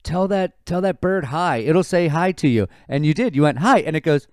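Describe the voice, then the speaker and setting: A man's voice: on the high side, moderate pace, no room sound, clean studio sound. A man co-hosting a casual podcast, telling stories and acting out what people said. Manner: animated storyteller, acts out quotes. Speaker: podcast co-host. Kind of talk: casual chat.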